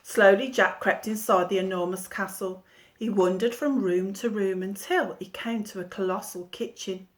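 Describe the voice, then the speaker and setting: A woman teacher reading a children's storybook aloud in an expressive storytelling manner. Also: medium pitch, normal speed.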